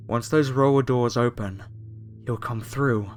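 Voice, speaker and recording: A man playing a gently menacing, fervently devout cult zealot in a horror ASMR roleplay. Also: mid-range voice, soft background music, close to an everyday voice. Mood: solemn, tender. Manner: slow, soothing, solemn, menacingly tender.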